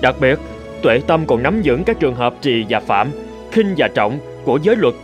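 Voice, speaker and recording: An actor playing an earnest, respectful young monk in a cartoon folk tale, speaking deferentially. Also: male voice, mid-range voice, big pitch swings, moderate pace, lightly acted. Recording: music under the voice.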